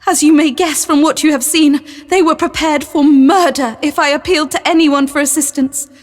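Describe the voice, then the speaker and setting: Woman playing a very high-voiced imploring young lady. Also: fairly even intonation, normal speed, in a large hall, close to an everyday voice. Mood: distressed explaining. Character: beautiful young abducted lady, imploring but brave. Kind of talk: period audio drama acting.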